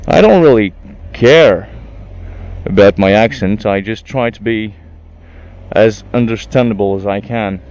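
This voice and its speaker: A young Frenchman with a French accent chatting casually while riding his motorcycle through city traffic, halting and full of restarts. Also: lowish voice, a little mellow. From France. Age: young adult. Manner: halting, many restarts and fillers, swears at traffic. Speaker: motorcycle vlogger. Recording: dull lo-fi helmet mic with faint traffic noise.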